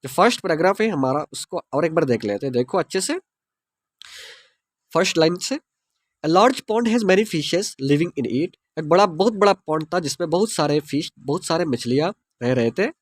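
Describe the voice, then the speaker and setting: An Indian man who speaks Hindi as his first language teaches English through a story. He reads each English line very slowly and spells words out letter by letter. His voice is high and swings widely in pitch, and the sound is dry and close. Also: expressive, dry recording.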